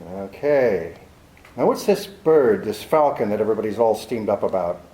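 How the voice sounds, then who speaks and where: A man's voice: lowish voice, animated, moderate pace, warm tone, natural voice. A man playing a cynical, tough private detective in a 1940s-style radio play, speaking with a dry, sardonic bite. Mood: grilling. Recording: echoey room.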